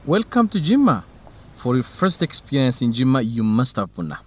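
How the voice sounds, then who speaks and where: A man playing a warm, helpful young student researcher in an educational radio drama. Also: mid-range voice, sing-song, normal speed, a little hiss or hum, lightly acted. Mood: welcoming.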